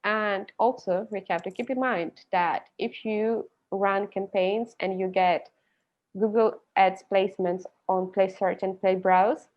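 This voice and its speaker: A woman's voice: mid-range voice, fairly even intonation, a little slow. A young woman with a Ukrainian accent, a guest expert on a video call interview, explaining her approach with light laughs mid-sentence. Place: indoor room with a large plant. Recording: slightly dull video call audio.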